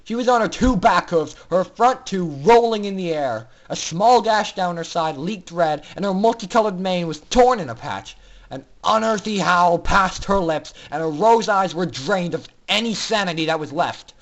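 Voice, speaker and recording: High-voiced man, dramatic story narrator. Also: animated, normal speed. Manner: theatrical, intense. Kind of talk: dramatic fan fiction narration.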